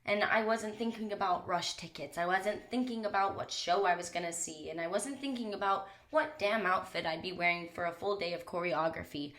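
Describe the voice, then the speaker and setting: A woman's voice: fairly low pitch, brisk, few pauses, fairly bright tone. Chatty young woman, slightly stuffed up. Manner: candid, chatty and rambling, with casual swearing. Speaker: podcast host. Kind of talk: solo podcast monologue. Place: small closet. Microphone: podcast mic.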